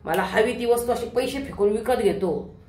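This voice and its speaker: A woman's voice: on the high side, moderate pace, darkish tone, a little hiss or hum, natural voice. Woman acting swaggering lecherous businessman, echoey hall. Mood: defiant, contemptuous. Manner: leering, swaggering, colloquial. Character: lecherous, boastful middle-aged businessman father, crude and bullying. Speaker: stage actor in a one-act play competition. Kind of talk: theatrical stage monologue acting. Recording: echoey hall, distant microphone.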